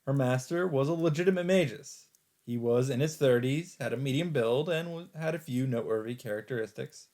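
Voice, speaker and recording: A man reading a visual novel aloud as a let's play host, in dramatic, expressive narration with deliberate phrasing and pauses. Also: mid-range voice. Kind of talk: dramatic narration read aloud from on-screen text. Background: background music.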